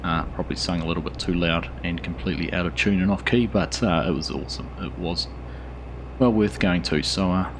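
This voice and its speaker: A hoarse man talks through a farming game in a relaxed, rambling way, filling gaps with plenty of ums and uhs. His voice sits very low. A steady hum of noise runs under the recording. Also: brisk. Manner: relaxed, rambling with frequent fillers. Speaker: gaming channel host. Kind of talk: casual gameplay commentary.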